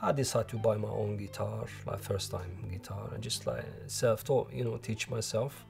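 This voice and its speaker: Algerian man in his forties, lapel-miked at home, hesitant phrasing, with soft music underneath. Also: fairly low pitch, fairly even intonation, creaky. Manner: halting, many restarts and fillers. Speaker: interviewee. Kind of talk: documentary interview. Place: living room at home.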